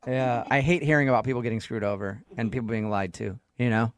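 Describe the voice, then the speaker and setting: A man's voice: medium pitch, fairly even intonation, quick pace, no echo. A man hosting a radio show with casual banter.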